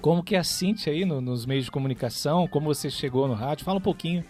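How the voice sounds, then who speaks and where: A man's voice: on the high side, quick pace, darkish tone, soft background music. A man with a Brazilian accent hosting a radio interview, introducing and prompting in a conversational way. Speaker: radio show host.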